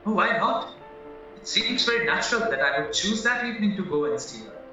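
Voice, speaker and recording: An actor as an eager, high-voiced accused young man. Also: big pitch swings, fairly quick, sharp tone, heavily acted. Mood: earnest, chatty. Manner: earnest, rambling, protesting. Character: naive, eager, anxious married man accused of murder. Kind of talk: stage-style audio drama acting. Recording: very echoey, muffled, distant, music underneath.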